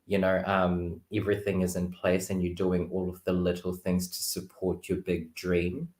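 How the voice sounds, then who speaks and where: A man with a very low voice chats casually as a guest card reader. He rambles loosely, leaning on filler words as he goes. The recording is echoey, with plenty of room sound around him. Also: monotone, moderate pace, darkish tone. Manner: rambling, filler-heavy, uses "like" often. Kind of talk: casual chat.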